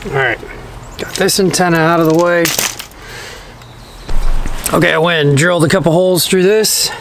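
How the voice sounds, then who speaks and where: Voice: mid-range voice, moderate pace. A DIY vlog host giving casual, hands-on how-to narration, informally talking to themself while working. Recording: clearly noisy on-site recording, clicks.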